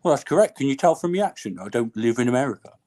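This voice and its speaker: A man's voice: medium pitch, very animated, dark tone, dry recording, clean studio sound, natural voice. A man in his forties calling into a live show, putting on a northern English miner's accent and trolling the hosts. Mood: provoking. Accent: put-on northern English. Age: 40s. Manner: provocative, trolling, quick-talking. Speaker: caller. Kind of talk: prank call on a live show.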